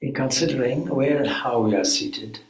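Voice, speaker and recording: A man leading a guided meditation as a spiritual teacher, speaking calmly in measured phrases with frequent pauses. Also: medium pitch. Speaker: swami, guided meditation leader. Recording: echoey room.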